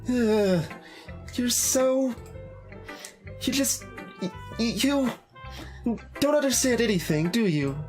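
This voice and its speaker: A man plays a prickly teenage anime girl from a visual novel, pitched up well above his own voice. The delivery is huffy and petulant, defensive and easily flustered, with faint music behind. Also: expressive, takes it slowly, pauses often, a little mellow, full character voice. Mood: flustered, indignant.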